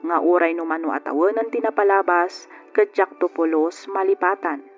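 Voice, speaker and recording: A woman's voice: fairly low pitch, normal speed, lightly acted. A woman playing a wistful letter writer who narrates in an old-style radio drama, on a tinny recording. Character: wistful female letter writer narrating her lost love. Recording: tinny phone-like radio sound with music.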